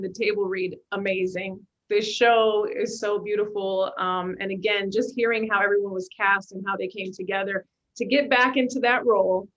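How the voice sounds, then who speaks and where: A woman's voice: medium pitch, fairly bright tone. A woman moderating a panel, asking questions in a casual interviewing style. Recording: slightly dull, lo-fi audio.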